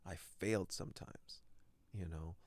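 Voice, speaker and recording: A man's voice: low-pitched, steady tone, a little slow, no echo. A man from Canada whose first language is French, a guest actor speaking earnestly in an interview, often repeating words as he searches for them. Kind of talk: reflective interview talk.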